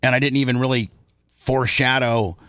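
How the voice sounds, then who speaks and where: A man hosting a casual radio talk show, speaking in a halting, rambling way on a close microphone. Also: mid-range voice, fairly even intonation, a bit bright, dry recording. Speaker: host and boss of the show. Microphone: close studio microphone.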